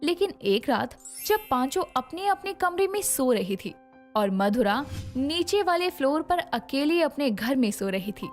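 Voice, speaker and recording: Expressive female storybook narrator. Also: sits high, unhurried. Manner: dramatic, expressive. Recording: soft background music.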